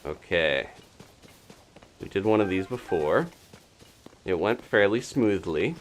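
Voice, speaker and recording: A man streaming a video game, giving casual live commentary and chatting as he thinks out loud. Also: low-pitched, lively intonation, takes it slowly, a little hiss or hum.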